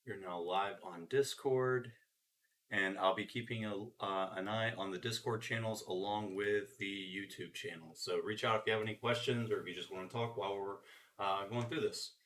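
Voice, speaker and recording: A man's voice: fairly low pitch, normal speed, recorded from a distance. A man with a congested, scratchy throat, casually talking through his game modding work on a stream with many fillers. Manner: relaxed, rambling, many uh fillers. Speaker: game modder. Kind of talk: casual screen-share dev stream.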